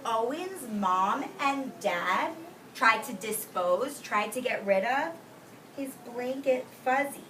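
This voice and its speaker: Bright, slow-paced woman reading to preschoolers. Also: on the high side. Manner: expressive, deliberate, drawn-out emphasis on key words. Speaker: preschool teacher reading a picture book aloud. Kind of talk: read-aloud storytelling with simple explanations to young children. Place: indoors in a classroom with young children. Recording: roomy distant mic with faint background noise.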